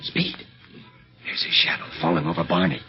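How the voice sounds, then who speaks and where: A male actor playing a steady, protective secret police agent in a 1930s radio serial, explaining and giving orders with authority. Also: deep voice, normal speed, music in the background, close to an everyday voice. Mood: tense, commanding. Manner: commanding, explanatory. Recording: muffled 1930s radio recording.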